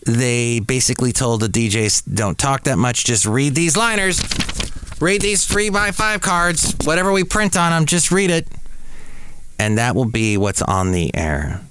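A man's voice: medium pitch, expressive, measured pace, a bit bright, a little hiss or hum. A middle-aged man hosting a podcast, casually reminiscing over old radio tapes with playful, exclamatory asides. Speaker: podcast host and former radio DJ.

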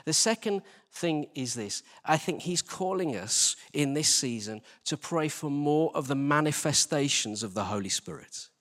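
A man's voice: on the high side, a bit bright. A British man giving an informal church sermon in an earnest, conversational manner, addressing his listeners directly as a preacher. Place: large church hall, live service. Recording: reverberant hall.

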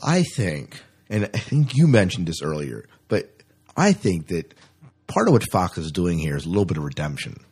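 A man's voice: deep voice, big pitch swings, normal speed, a little mellow, husky. A man hosting a podcast in a casual, conversational style. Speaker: podcast host. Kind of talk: casual discussion.

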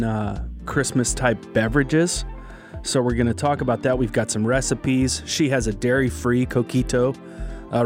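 A man hosting a podcast, chatting in an upbeat, casual way as he introduces the episode and his guest. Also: mid-range voice. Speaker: podcast host.

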